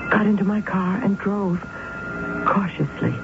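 A tinny, narrow-band old radio drama with loud music behind the voice. an obsessed, nervy woman, creaky at the edges, speaking with haunted intensity and careful dramatic weight. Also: medium pitch. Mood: brooding, haunted. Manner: intense, dramatic, deliberate delivery. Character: obsessed, nervy woman convinced her sister is possessed. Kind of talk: 1970s radio drama acting, first-person narration. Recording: muffled phone-like radio recording, loud music.

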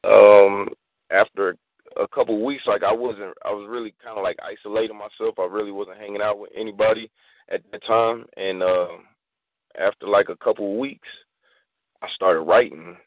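Guy in his 30s from virginia, guest on a show, halting casual talk, muffled dry sound. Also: on the low side, steady tone, no room sound. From Virginia, United States. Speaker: guest poet. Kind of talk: casual interview chat.

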